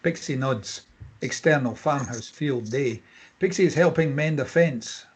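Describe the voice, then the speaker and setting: A man with a husky, mid-pitched voice reads screenplay directions. It is scripted narration, setting out scenes and actions in short statements. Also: a little slow. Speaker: stage-direction reader.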